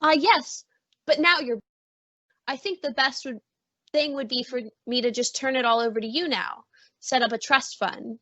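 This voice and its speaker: Woman acting a bright, high-voiced doting mother. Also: normal speed, dry recording, dull audio. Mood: earnest, coaxing. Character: doting, well-off middle-aged mother, gentle and coaxing. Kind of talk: old-time radio drama acting.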